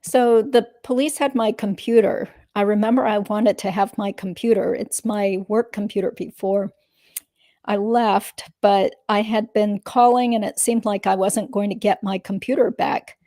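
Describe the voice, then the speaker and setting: A woman's voice: mid-range voice, fairly even intonation, normal speed, dark tone, no room sound, studio quality, lightly acted. A woman reading the part of a guarded witness testifying in a legal deposition. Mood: guarded, matter-of-fact.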